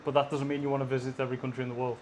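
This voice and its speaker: A man's voice: mid-range voice, level tone, racing, a little mellow, a little hiss or hum. A man interviewing a guest, steadily posing and framing questions.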